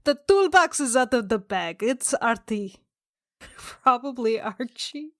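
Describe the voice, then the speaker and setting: A woman's voice: on the high side, expressive, takes it slowly, no echo, clean studio sound. A woman playing a tabletop role-playing game, chatting informally and jokingly as she describes her character's actions. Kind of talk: casual improvised game chat.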